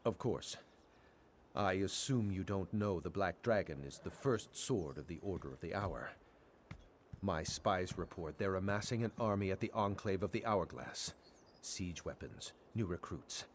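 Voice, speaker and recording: A man plays an impatient fantasy game nobleman. His voice is somewhat low, with pompous, formal phrasing. Faint noise lies underneath. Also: expressive, unhurried. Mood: grudging, grave. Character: haughty, impatient nobleman count.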